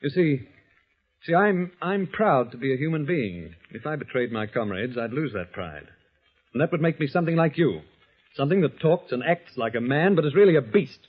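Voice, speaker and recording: An actor playing a defiant, scornful English resistance leader in a 1940s radio drama, speaking in a sardonic, dramatic way. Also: male voice, a little high, big pitch swings, moderate pace, husky. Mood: calm scorn. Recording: muffled vintage radio recording.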